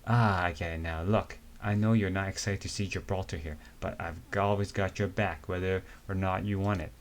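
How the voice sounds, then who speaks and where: A man voicing a big, friendly, protective male fighter in an amateur comic reading, with a warm, reassuring delivery. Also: sits low, steady tone, brisk, a little hiss or hum, natural voice.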